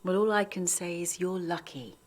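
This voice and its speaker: A woman's voice: fairly low pitch, normal speed, natural voice. A woman playing a protective, guarded, worldly-wise friend in a naturalistic audio drama. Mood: guarded, bitter.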